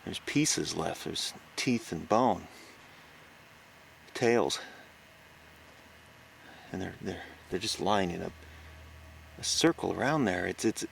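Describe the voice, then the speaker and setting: A man plays a scared reporter whispering out his findings on a night stakeout in an audio horror story. His voice is deep and gravelly, breaking into halting stammers. Faint outdoor noise sits on the recording. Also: lively intonation, fairly bright tone, full character voice. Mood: shaken, uneasy. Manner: halting, stammering, gasping exclamations. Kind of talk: found-recording horror audio drama monologue. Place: outdoors on a farm. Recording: noisy outdoor location recording.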